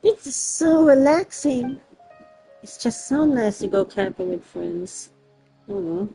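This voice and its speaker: A woman streaming a game with casual, informal, reactive commentary and an excitable manner. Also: fairly high pitch, slow pace, vocal fry, breathy edge, faint music behind, poor audio.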